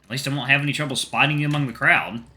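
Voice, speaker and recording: A man voicing a young adult female cheetah sailor, proud and playful, talking in an emphatic, lively way. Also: mid-range voice, rapid-fire. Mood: earnest.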